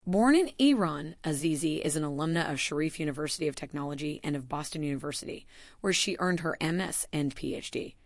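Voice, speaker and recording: A woman with a low, deep voice reads a formal announcement in an even, measured way. The sound is close and dry, with no room around it. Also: no echo. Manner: even, formal read-aloud delivery. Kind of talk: scripted announcement narration.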